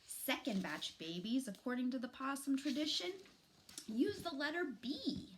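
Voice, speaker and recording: A middle-aged woman reading a children's storybook aloud in an expressive, dramatic, deliberate way. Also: high voice, slight background noise, low-quality recording. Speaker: teacher reading aloud to students. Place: small furnished room at home, indoors.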